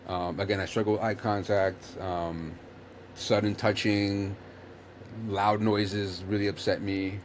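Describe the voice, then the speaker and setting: A man's voice: fairly low pitch, level tone, takes it slowly, slight background noise. A man hosting a casual chat, explaining earnestly while rambling and restarting his sentences.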